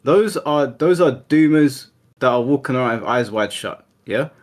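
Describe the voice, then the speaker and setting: A man's voice: medium pitch, animated, moderate pace. A man in a heated online debate, firmly holding the floor to make his case. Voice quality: assertive, firm.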